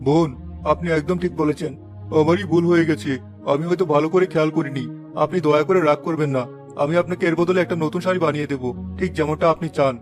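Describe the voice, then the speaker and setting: A man voicing a principled older village weaver father in a moral-story cartoon, giving measured advice and polite admonishment. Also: fairly high pitch, steady tone, music in the background, lightly acted. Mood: apologetic, soothing.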